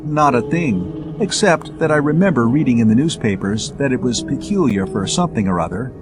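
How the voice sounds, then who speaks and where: A man's voice: medium pitch, animated, fairly quick, music in the background, lightly acted. A man voicing a plucky, devoted young woman space traveler in a science fiction audiobook, with questioning, teasing banter. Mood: puzzled, teasing.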